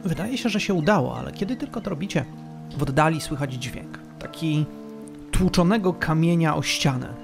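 A man narrating a tabletop fantasy game as game master, storytelling with dramatic, deliberate pauses. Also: on the high side, lively intonation, vocal fry, music in the background.

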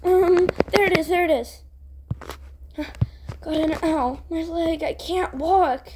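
A woman's voice: very high-pitched, takes it slowly, darkish tone, a little hiss or hum. A woman voicing a whiny injured child in a cartoon roleplay, wailing shrilly for help. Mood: crying, pleading.

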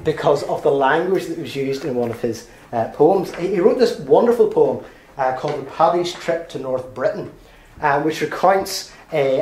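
A man giving an academic seminar lecture, pausing often on uh and um and slipping in dry asides. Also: mid-range voice, a little mellow, slight background noise. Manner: hesitant, frequent uh and um, dry asides. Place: university seminar room. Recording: roomy seminar room echo.

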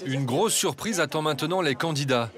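A man reading scripted documentary voice-over narration in a measured, even way. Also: a little high, a crowd in the background.

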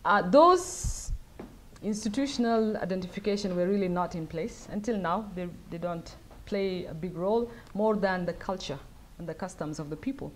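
Woman from south sudan at a podium, lowish voice, halting with lots of ums, faint noise in the room. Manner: thoughtful, halting, many fillers. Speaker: guest speaker. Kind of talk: lecture Q&A answers. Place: wood-paneled event room, at a lectern. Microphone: lectern mic.

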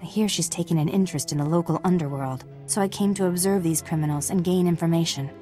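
An actress playing a serene, earnest Jedi knight in a video game, speaking calmly and formally. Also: female voice, fairly low pitch, quick pace, soft background music, lightly acted. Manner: calm, formal. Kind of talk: video game dialogue acting.

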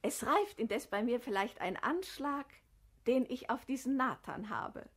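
A woman's voice: on the high side, lively intonation, a little slow, breathy edge, full character voice. An actress playing a shrewd, assured noblewoman in a classic radio play, eloquent and declamatory. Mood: scheming, assured. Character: shrewd, scheming, assured noble sister of a sultan.

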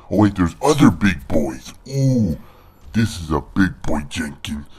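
A man giving joking, boastful let's play commentary through a pitched-down monster voice filter. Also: a little mellow. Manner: joking, boastful, playful trash talk. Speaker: gamer.

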